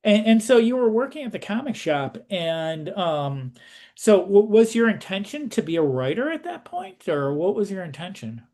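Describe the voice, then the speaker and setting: A man's voice: sits high, big pitch swings, normal speed, a little mellow. A middle-aged or older man interviewing someone with casual, exploratory questions.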